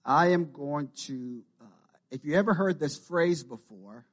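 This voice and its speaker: A man's voice: on the high side, measured pace. Jamaican Canadian man preaching a sermon. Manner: preaching with rising intensity and repeated phrases. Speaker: pastor.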